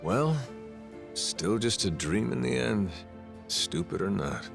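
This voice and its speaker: An actor playing a rough-edged, middle-aged yakuza in a video game, playful and philosophical, with a slangy, wry drawl. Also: male voice, fairly low pitch, animated, slow pace, over music, heavily acted. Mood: gruff, wistful. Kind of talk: video game cutscene acting.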